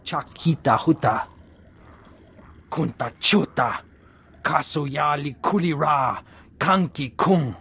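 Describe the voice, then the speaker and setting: A man's voice: a little high, slow, long pauses, sharp tone, exaggerated voice. A man voicing a male alien in a video game, speaking an invented alien tongue. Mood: animated.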